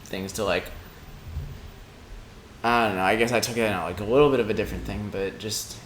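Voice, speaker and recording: A man of twenty-five chatting reflectively on a podcast, halting as he thinks aloud. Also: fairly low pitch, fairly even intonation. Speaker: podcast speaker. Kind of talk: casual reflective podcast conversation. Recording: roomy echo with faint background noise.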